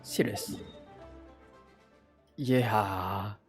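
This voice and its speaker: Malaysian guy live streaming a game, creaky voice, short slangy bursts to himself, bit of music behind. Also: fairly low pitch, fairly even intonation, very slow. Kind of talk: casual live gameplay commentary, mixing Malay and English.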